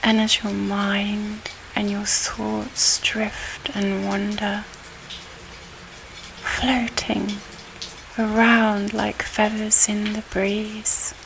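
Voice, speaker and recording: A woman guiding a relaxation hypnosis session in a soothing, hushed and breathy voice with long pauses. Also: mid-range voice, background noise. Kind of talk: guided relaxation hypnosis, ASMR-like. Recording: steady rain and thunder behind voice. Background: rain and thunder soundscape.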